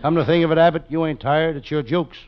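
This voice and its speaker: Guy acting a deadpan wisecracking private eye, old radio comedy, slightly high voice, super noisy muffled tape. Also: quick pace, lightly acted. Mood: deadpan, narrating. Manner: deadpan delivery of puns and one-liners. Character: wisecracking, world-weary male private detective.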